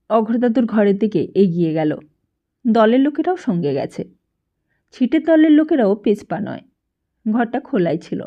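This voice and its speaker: Female audiobook narrator, unhurried and expressive literary read, slightly muffled lo-fi sound. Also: mid-range voice. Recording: dull, lo-fi sound.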